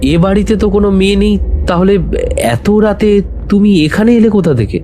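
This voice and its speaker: A man's voice: sits high, moderate pace, mellow, soft background music, natural voice. A man playing a frightened, bewildered young villager in an audio horror story, urgent and questioning. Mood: suspicious, uneasy.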